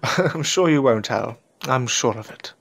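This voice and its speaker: Anxious adventurer played by a man, lively pitch. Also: measured pace, gravelly. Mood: anxious, resolute. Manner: hesitant, anxious. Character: uneasy, earnest male occult adventurer. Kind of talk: audio drama acting. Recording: faint background noise and ambience.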